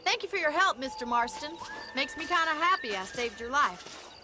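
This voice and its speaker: An actress with an American accent playing a plucky, sharp-tongued young ranch woman in a Western video game, forthright and teasing. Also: high-pitched, big pitch swings, moderate pace, background noise. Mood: warm, friendly. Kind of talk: video game cutscene acting.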